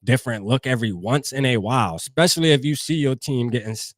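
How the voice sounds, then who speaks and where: A man's voice: medium pitch, expressive, fast talker, no room sound, clean studio sound. A man from New Jersey hosting a fan show, talking loosely and profanely straight to his live chat. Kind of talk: casual livestream sports talk.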